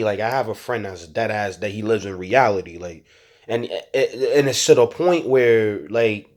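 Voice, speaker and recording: A man's voice: medium pitch, darkish tone. A young man talking frankly and reflectively to his viewers in street slang, stuttering and restarting his sentences often. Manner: frank street slang, frequent "you know what I'm saying". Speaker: rapper and YouTuber. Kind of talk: casual reflective monologue to viewers.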